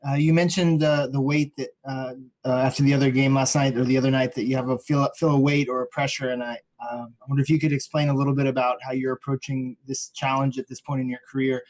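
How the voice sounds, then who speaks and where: A man working as a reporter, asking a press-conference question haltingly as he searches for words. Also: medium pitch, little pitch movement, a little mellow, no room sound, poor audio.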